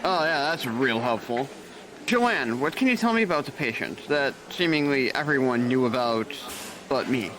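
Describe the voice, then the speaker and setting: A man's voice: medium pitch, lively intonation, moderate pace, a little hiss or hum, natural voice, rough recording. An actor playing a dry, sarcastic, burned-out male nurse showing a new hire around, in an audio drama. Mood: sardonic.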